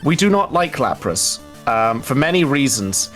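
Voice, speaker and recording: A man commentates live on a video game in an average-pitched voice. He explains step by step, pausing to find words, with faint game music behind him. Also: sing-song, fairly bright tone. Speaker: speedrun commentator.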